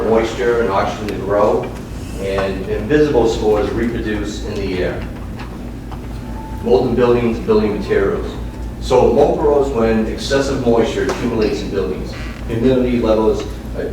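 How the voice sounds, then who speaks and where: A man with a Boston accent giving a casual office presentation and reading his slides with many fillers. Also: fairly low pitch. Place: office meeting room. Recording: echoey room from far off with noise.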